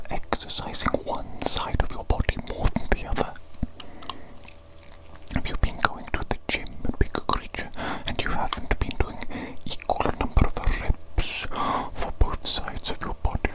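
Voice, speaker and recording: A woman whispering in character as a smug, self-praising evil doctor, softly repeating her phrases in a slow, teasing way. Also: close to an everyday voice, poor audio. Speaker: ASMR roleplay creator. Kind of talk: ASMR whispered roleplay.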